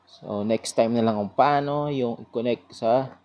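A man giving a casual product review in an informal, sales-pitch manner. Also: measured pace, muffled recording.

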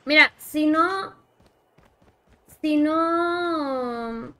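A young woman with a high voice and a Mexican accent chats loosely with her stream while she plays. Her talk is slangy and drifts off mid-thought. Clear game noise of vehicles and helicopters runs behind her. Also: drawn-out words, sharp tone. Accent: Mexican Spanish. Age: young adult. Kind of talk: casual livestream chat while gaming. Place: indoor room, alone. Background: mobile shooter game sounds, helicopter.

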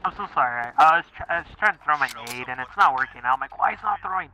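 Lively high-pitched male gamer over gunfire. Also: fast talker, poor audio. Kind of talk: casual game chat. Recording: gunfire noise behind the voice. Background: video game gunfire.

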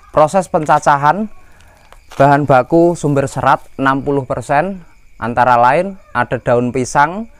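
A man's voice: mid-range voice, no echo. Indonesian man explaining steps, dry close sound. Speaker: livestock farmer and channel host. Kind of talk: informal step-by-step tutorial narration.

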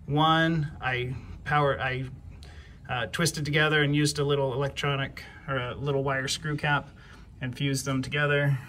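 Guy doing a casual diy walkthrough outside, halting and repeating himself, mid pitch, some background noise. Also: flat delivery, a bit bright. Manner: halting, repeats words while explaining. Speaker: DIY homeowner. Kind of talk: casual hands-on tutorial. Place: outdoors by a house front door. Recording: clear background noise, outdoor ambience.

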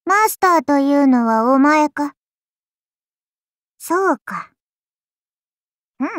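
An imperious little oni god-child for an anime game, high-voiced and very animated. The delivery is slow and lordly, with archaic phrasing and a playful, indulgent haughtiness, like an ancient deity talking down to a pet. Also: female voice, dry recording, studio quality. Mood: haughty, playful. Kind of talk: anime game character voice lines.

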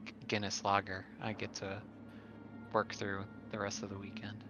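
A man's voice: on the low side, completely flat delivery, moderate pace, music in the background. A man chatting casually as a player on a tabletop gaming show. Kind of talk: casual chat.

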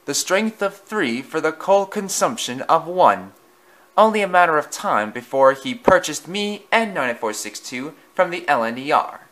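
A man voicing a big, vain, boastful male engine with a grandiose, drawn-out, theatrical manner. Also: a little high, very animated, fairly bright tone, pitched above the performer's own voice. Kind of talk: storybook voice acting.

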